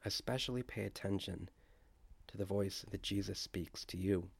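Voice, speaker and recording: A man leading a guided prayer meditation, speaking in a slow, hushed way as he guides listeners to imagine the scene. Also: sits low, vocal fry, breathy edge, no echo.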